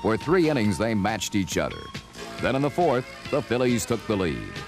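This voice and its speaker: Low-voiced man narrating dramatically over music. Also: very animated, moderate pace. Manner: dramatic storytelling delivery. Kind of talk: scripted documentary narration of a sports season. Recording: music behind, old vinyl record.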